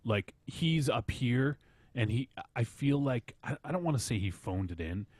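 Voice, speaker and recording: A man's voice: medium pitch, expressive, moderate pace, a little mellow, slightly rough, no room sound. A man casually discussing films as a podcast reviewer, repeating words as he builds up to his points.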